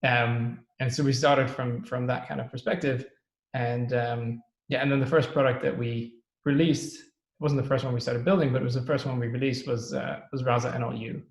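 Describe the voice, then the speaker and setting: Gravelly man talking in an echoey room. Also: mid-range voice, a little fast. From Netherlands. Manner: relaxed, thinking aloud with frequent ums and rights. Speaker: podcast guest, startup co-founder. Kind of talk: casual interview chat. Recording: roomy echoey sound.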